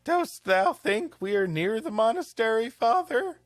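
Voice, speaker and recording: A man's voice: very high voice, very animated, a little slow, no echo, clean studio sound, voice pushed up, full character voice. A man voicing an eager, questioning young missionary follower in a storybook tale. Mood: earnest questioning. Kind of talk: storybook reading with comic voices.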